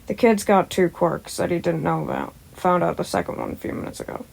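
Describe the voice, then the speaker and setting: Woman as a weary, lowered-voice man. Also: fairly quick, creaky, darkish tone, slightly rough, full character voice. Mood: matter-of-fact. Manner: low-key, tired, terse. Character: weary, gruff adult man, reluctant guardian. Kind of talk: podfic character reading.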